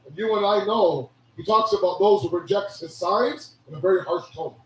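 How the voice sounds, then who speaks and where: A hoarse man giving a sermon, preaching with animated rhetorical questions. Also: high-pitched, normal speed. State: hoarse, losing his voice. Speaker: religious speaker. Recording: echoey hall from a distance.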